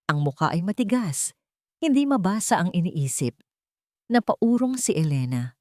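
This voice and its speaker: A woman narrating a scripted audio drama in a steady, solemn storytelling manner. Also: lowish voice, dry recording, studio quality.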